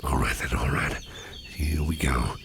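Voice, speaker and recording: A man playing a protective, faintly sinister businessman boss in an ASMR roleplay, speaking in a soothing, unhurried, gravelly half-whisper. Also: extremely low pitch, very noisy, heavily acted. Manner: soothing, unhurried, repeats reassurances. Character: sinister yet protective businessman boss, male, smooth-talking, doting.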